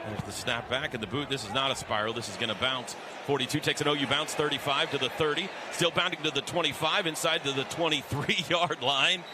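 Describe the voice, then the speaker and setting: A man's voice: medium pitch, fairly even intonation, a little fast. An American man calling live football play-by-play on the radio in clipped, staccato phrases, as the announcer. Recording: radio broadcast over loud stadium noise. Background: loud stadium crowd noise.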